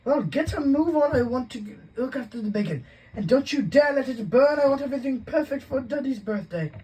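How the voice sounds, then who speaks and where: A man voicing a shrill, bossy middle-aged aunt who screeches and snaps. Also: expressive, fairly quick, darkish tone, slightly rough, full character voice, low-quality recording. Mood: nagging. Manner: screeching, snapping, fussing.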